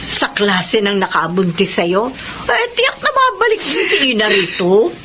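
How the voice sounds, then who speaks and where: An actress playing a frank, busybody neighbor friend in a Filipino radio drama, chatty and emphatic. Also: female voice, mid-range voice, very animated, unhurried, bright, forceful tone, a little hiss or hum. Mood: indignant.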